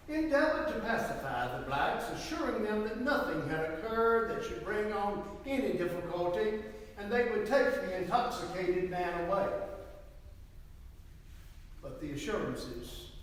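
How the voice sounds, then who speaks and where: A man's voice: high-pitched, fairly bright tone, a little hiss or hum. A man narrating a historical account as an emphatic, declamatory dramatic reading. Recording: very echoey hall, far from mic.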